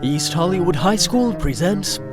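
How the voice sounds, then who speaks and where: A man narrating a scripted comedic audio drama in a measured, wry way. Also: high voice, expressive, music in the background.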